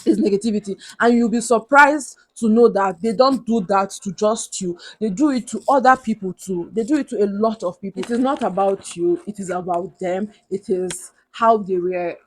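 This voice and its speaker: A young Nigerian woman with a big, loud voice talks straight to camera in a vlog. She pushes her advice with earnest emphasis and keeps repeating the key points. Her pitch sits in a mid, everyday range for a woman. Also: moderate pace, few pauses, poor audio. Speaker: vlogger. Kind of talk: motivational advice talk to camera.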